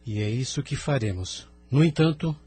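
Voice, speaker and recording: A man with a Brazilian accent playing a courteous, grave spirit envoy in an audio drama, formal and measured. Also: mid-range voice, animated, warm tone, breathy edge, soft background music. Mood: grave, urgent.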